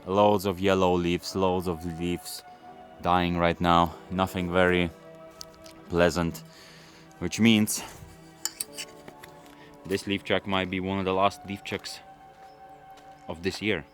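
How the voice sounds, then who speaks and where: A man who grew up in London, speaking Russian as his first language, answering viewer questions in a relaxed, rambling casual chat. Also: sits low, slow pace, long pauses, soft background music. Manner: relaxed, rambling, with frequent fillers and restarts. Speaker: automotive YouTuber.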